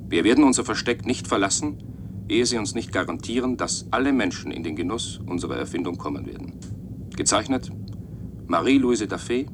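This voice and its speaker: A man voices a document-reading speaker device in a radio drama. The voice is deep and formal, set against clear low rumbling noise. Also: close to an everyday voice.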